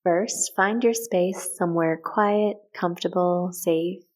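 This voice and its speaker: A woman leading a guided meditation in a calm, gentle, unhurried way with short measured phrases. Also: lowish voice. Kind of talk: guided meditation, soft instructional narration.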